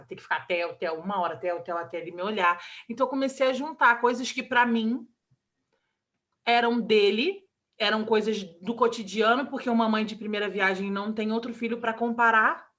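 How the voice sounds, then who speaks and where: A woman in her thirties with a Brazilian Portuguese accent, an interview guest talking about her child, emotional and halting, with many restarts. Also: mid-range voice, fairly bright tone. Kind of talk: candid personal interview.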